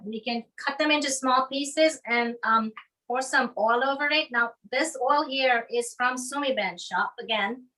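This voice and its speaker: A woman's voice: sits high, unhurried, pushed and bright. A woman demonstrating a recipe on a video call, enthusiastically describing each step. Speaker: home cook demonstrating a recipe. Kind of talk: cooking demonstration. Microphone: distant mic on a video call. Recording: distant mic on a video call.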